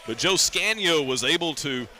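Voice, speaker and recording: A man's voice: fairly high pitch, expressive, normal speed, bright, forceful tone. A man doing live radio baseball play-by-play, describing the action and chatting conversationally.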